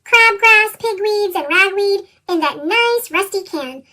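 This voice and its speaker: A woman voicing a cheerful, smitten male goat in a storybook, with a chipmunk pitch effect. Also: lively intonation, a little slow, bright, forceful tone, heavily acted.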